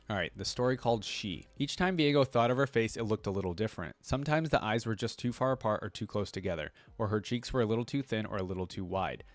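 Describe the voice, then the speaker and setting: A man's voice: fairly low pitch, dry recording. A man hosting a reaction video, reading lore aloud and adding casual commentary.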